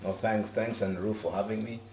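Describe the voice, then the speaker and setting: A man's voice: lowish voice, fairly even intonation, moderate pace, darkish tone, roomy sound, distant mic. A Kenyan pastor in his fifties, easygoing and chatty, talking about his life in a house interview. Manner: easygoing, laughs often, many fillers. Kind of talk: relaxed interview answers. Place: living room in a house.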